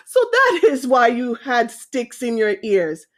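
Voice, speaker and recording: A woman voicing a commanding male lion king in a storybook, emphatic and deliberate as he addresses his animals. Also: on the high side, sing-song, fairly bright tone, heavily acted. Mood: stern questioning.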